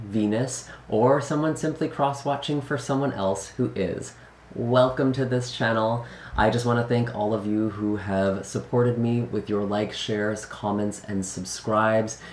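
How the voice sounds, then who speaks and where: Soft-spoken man, slightly raspy, roomy sound. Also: fairly low pitch, steady tone, measured pace, a little hiss or hum. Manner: gentle, earnest, many fillers. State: recovering from illness. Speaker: tarot reader. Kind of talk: casual channel intro.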